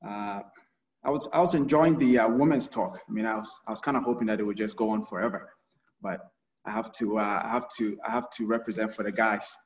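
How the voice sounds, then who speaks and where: A middle-aged man from Ghana, a urologist, giving an informal health talk over a video call, halting with many fillers and restarts. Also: lowish voice. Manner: hesitant, many fillers and repeated starts. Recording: roomy, distant, dull video-call audio.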